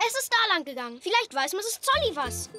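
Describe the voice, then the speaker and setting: A woman voicing an excited, curious little boy zebra in a children's cartoon, eager and exclamatory. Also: very high-pitched, brisk, faint music behind, full character voice.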